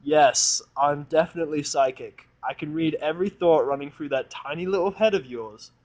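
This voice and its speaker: A man's voice: a little high, moderate pace, darkish tone, lo-fi sound, pitched above the performer's own voice, lightly acted. A man playing an irritable, sarcastic, foul-mouthed human in a story reading, blunt and scornful.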